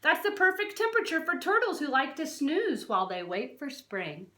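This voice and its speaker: Woman, high-pitched voice, very lively intonation, teacherly children's read-aloud, expressive emphasis on key words. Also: moderate pace. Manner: expressive, questioning, stresses and draws out key words. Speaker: teacher reading a non-fiction book aloud to children. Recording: roomy, echoey sound.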